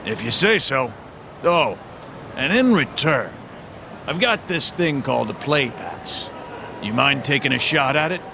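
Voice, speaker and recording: Gravelly, chatty homeless guy. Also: mid-range voice, animated, unhurried, background noise. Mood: guarded, casual. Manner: slangy, folksy, familiar. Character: chatty, folksy homeless man trading tips and favors. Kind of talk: video game cutscene acting.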